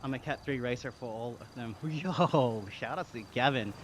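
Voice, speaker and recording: Casual male streamer, Australian accent, mid-range voice, chatty and slangy, talking to chat over clear background noise. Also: mid-range voice, moderate pace. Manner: chatty, slangy, reading chat aloud, thanking viewers. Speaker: live streamer.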